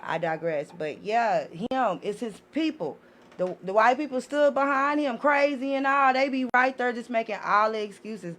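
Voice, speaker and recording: A woman's voice: fairly high pitch, normal speed, a bit bright. A woman talking as a podcast guest in an impassioned, casual way, blunt, emphatic and given to swearing. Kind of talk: impassioned casual opinion talk.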